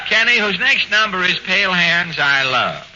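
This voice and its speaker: A man hosting a 1940s radio comedy, dry and mock-stern with quick quips. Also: high voice, big pitch swings. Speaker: comedy show host. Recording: dull old radio broadcast, faint hiss.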